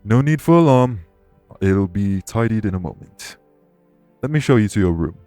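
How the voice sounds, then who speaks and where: Male actor as ancient king, low-pitched, courteous, dour, measured formal speech, audio roleplay, faint background music. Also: expressive, mellow, dry recording, close to an everyday voice. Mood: courteous, reassuring. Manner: formal, measured, gravely polite. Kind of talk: immersive audio roleplay acting addressed to listener.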